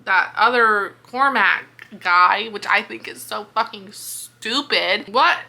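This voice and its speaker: A young woman chatting casually about a book she is reading along with, animated and exasperated, swearing as she thinks aloud. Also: mid-range voice, slow pace, gravelly.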